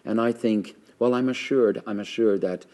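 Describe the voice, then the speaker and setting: A man with a very low, loud voice, a pastor well into middle age. He talks with fervent conviction, leaning on his words and repeating them to drive a point home. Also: normal speed. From Canada. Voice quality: loud voice by nature. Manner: fervent, emphatic, repeats phrases for stress. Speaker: pastor hosting a conversation. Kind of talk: earnest conversational interview.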